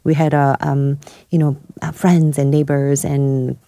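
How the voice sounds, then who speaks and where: Low, mellow-voiced Korean-born woman guest. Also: level tone, measured pace, no echo, studio quality. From South Korea. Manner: hesitant, many fillers and restarts. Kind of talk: podcast interview, personal story. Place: studio.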